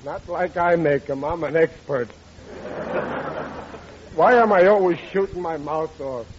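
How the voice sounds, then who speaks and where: A man's voice: on the high side, unhurried, lightly acted. An actor playing a melodramatic, woeful middle-aged working-class father in 1940s radio comedy style, whining and ungrammatical. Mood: gloomy self-pity. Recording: dull noisy old radio recording.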